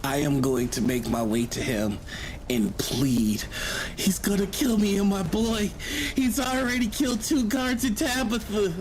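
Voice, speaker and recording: Male tabletop player, slightly high voice, casual in-character play, faint background noise. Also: expressive, measured pace, a little mellow.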